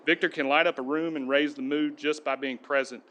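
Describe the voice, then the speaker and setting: A man, a police sergeant, giving a steady, earnest prepared speech at a podium in a council chamber. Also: on the high side, a little fast, a little hiss or hum. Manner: steady, earnest reading of a tribute.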